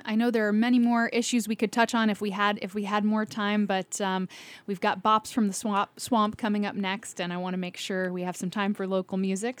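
A woman moderating a radio candidate forum. She keeps things moving briskly, wrapping up and setting up the final question. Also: medium pitch. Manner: brisk, organized.

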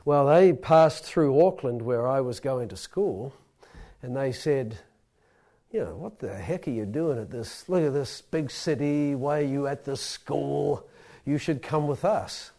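A man's voice: medium pitch, measured pace, vocal fry, mellow. A middle-aged man with a New Zealand accent telling a rambling, self-deprecating personal story to a live audience.

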